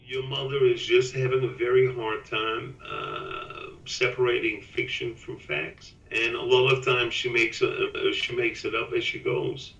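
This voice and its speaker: An older man, a father, talks candidly with his son in a documentary interview, halting and repeating himself. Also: medium pitch, pushed and bright, far from the mic. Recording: echoey room, away from the mic.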